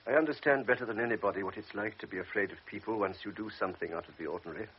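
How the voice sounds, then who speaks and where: An actor playing a calm, authoritative older doctor in a radio drama, speaking in a measured, persuasive way. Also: male voice, on the low side, slight background noise, natural voice. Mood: grave. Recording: muffled old radio recording.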